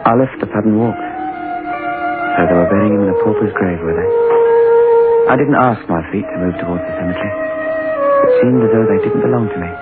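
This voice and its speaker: Swooping, guilt-ridden young English thief. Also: male voice, on the low side, huge pitch swings, a little fast, pauses often, over loud music. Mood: haunted, brooding. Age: young adult. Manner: intense, brooding, melodramatic. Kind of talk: old-time radio drama acting with confessional narration. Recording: tinny old radio recording.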